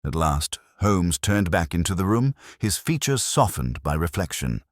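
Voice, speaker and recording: Very deep male mystery narrator. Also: sing-song, dry recording, studio quality. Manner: measured, literary, formal. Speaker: audiobook narrator. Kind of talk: scripted first-person mystery narration.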